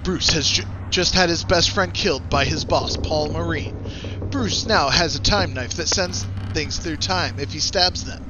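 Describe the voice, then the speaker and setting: A man's voice: on the high side, measured pace, dull audio. A man hosting a game stream, doing playful commentary and theatrical amateur readings, stumbling a little as he reads. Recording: clearly noisy voice track.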